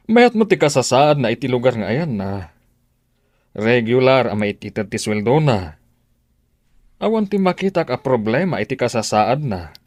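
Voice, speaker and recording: A man's voice: medium pitch, darkish tone, dry recording, clean studio sound. A man playing a polite, reassuring recruitment agency officer in an Ilocano Filipino radio drama.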